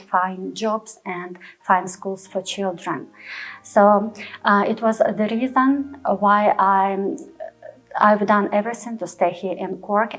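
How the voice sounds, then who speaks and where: A woman from Ukraine with a Ukrainian accent, speaking in a documentary interview in a measured, earnest way, pausing to find her words. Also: medium pitch, poor audio. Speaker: refugee volunteer and choir founder.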